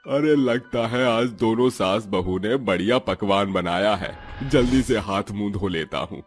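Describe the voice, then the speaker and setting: A man playing a middle-aged village husband and father, hungry and jovial, in an animated comic story with exclamatory, teasing talk. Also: on the low side, a little slow, faint music behind.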